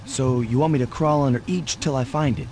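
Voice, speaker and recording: An actor playing a cocky, loyal young raccoon thief with a laid-back, wry manner in a cartoon video game. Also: male voice, medium pitch, steady tone, brisk, a crowd in the background, close to an everyday voice. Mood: relaxed confidence. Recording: game music behind voice. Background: game music.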